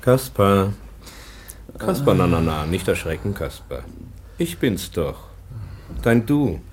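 An actor playing a gentle, polite professor in a radio play, speaking softly and coaxingly. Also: male voice, deep voice, very animated, slow, slight background noise, exaggerated voice. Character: gentle, polite male schoolteacher.

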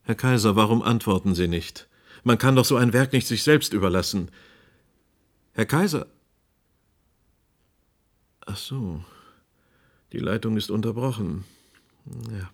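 Radio drama: earnest broadcast presenter amid disaster, low-pitched voice. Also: male voice, measured pace, long pauses. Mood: grave, composed. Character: earnest male radio presenter facing catastrophe.

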